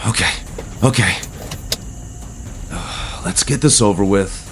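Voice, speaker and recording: A man's voice: deep voice, slow pace, background noise. An actor playing an agitated, panicked man muttering to himself in an audio drama. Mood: anxious, strained. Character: panicked young man hiding a secret, talking to himself.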